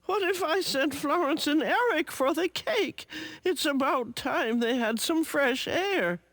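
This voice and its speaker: A woman's voice: high-pitched, expressive, a little slow, a bit bright, no echo, pitched above the performer's own voice. A woman voicing a kindly, busy old granny sheep in a storybook, with a sweet, fond, lilting manner. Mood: concerned.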